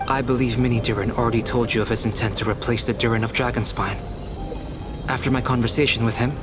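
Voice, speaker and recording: An actor playing a calm, erudite young alchemist in a video game, speaking in a measured, formal, precise way. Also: male voice, medium pitch, natural voice. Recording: music behind the voice.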